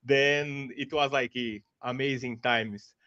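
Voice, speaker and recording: A man from Brazil with a Brazilian Portuguese accent, a Portuguese native speaker who hosts an interview over a video call, speaking haltingly with frequent restarts in a friendly tone. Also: medium pitch, steady tone, sharp tone, clear, resonant tone, dry recording. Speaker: podcast host. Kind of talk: casual interview hosting. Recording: dry, muffled video call audio.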